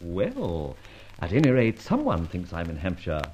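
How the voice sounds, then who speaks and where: An actor playing a debonair, breezy gentleman adventurer in an old radio serial, with brisk, clipped, theatrical speech. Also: low-pitched, huge pitch swings, a little hiss or hum, heavily acted. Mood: musing. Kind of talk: old radio serial acting and first-person narration. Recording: dull vintage radio recording, music behind.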